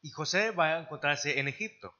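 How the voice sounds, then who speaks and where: A man's voice: a little high, a little fast, pushed and bright, lo-fi sound. A man interpreting a sermon live, phrase by phrase, with occasional restarts. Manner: translating phrase by phrase, with some restarts. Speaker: church interpreter. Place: church service.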